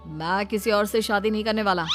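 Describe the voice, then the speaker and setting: A woman's voice: medium pitch, a little fast, music in the background, close to an everyday voice. A woman voicing a young husband and dutiful son in a family cartoon, placating and reluctant. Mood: protesting. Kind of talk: Hindi family cartoon acting.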